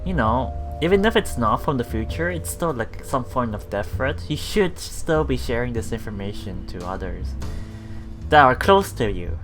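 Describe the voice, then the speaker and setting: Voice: medium pitch, big pitch swings, moderate pace. A let's play host giving casual, hesitant commentary on a visual novel, reading and translating the dialogue aloud. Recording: music playing behind the voice. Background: game background music with guitar.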